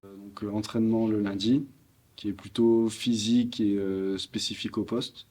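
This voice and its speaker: Young man, late teens, low flat voice, hesitant interview answers. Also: normal speed. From Picardy, France. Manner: hesitant, many fillers. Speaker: student athlete. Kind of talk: documentary interview.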